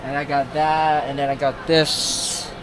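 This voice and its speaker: A man's voice: a little high, flat delivery, unhurried, a crowd in the background. A man in his thirties with an American accent, chatting casually and haggling as a vlogger at a market stall. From United States. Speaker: vlogger and customer. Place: busy covered market stall. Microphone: handheld vlog camera held by him. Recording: noisy market background.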